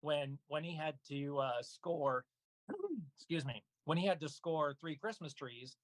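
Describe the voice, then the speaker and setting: A man speaking casually as an interview guest about his work, halting with frequent pauses and asides. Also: on the high side, no room sound, low-quality recording. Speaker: guest, voice actor and audio drama producer. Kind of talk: casual interview talk about own projects.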